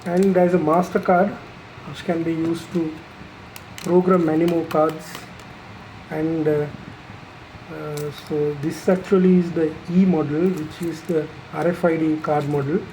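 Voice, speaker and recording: A person giving an unscripted, explanatory product demonstration in a halting, matter-of-fact way, pausing mid-phrase. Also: medium pitch, little pitch movement, mellow, slight background noise, low-quality recording. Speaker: installer presenting a product tutorial.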